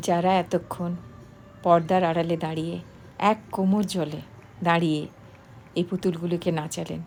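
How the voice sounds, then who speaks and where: A woman narrating a travel vlog in voice-over, speaking in short, unhurried phrases. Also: on the low side, dark tone, a little hiss or hum. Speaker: travel vlogger. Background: music.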